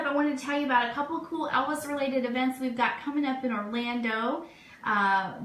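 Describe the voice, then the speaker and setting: A woman radio host chatting enthusiastically and informally as she runs through upbeat event announcements. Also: fairly high pitch. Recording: roomy echo, steady background noise.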